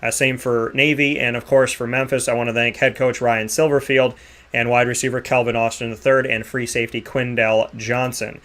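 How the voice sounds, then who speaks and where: A man hosting a sports radio show, giving rambling, energetic shout-outs and sponsor plugs. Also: medium pitch, normal speed. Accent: Boston. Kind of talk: enthusiastic sign-off with sponsor plugs.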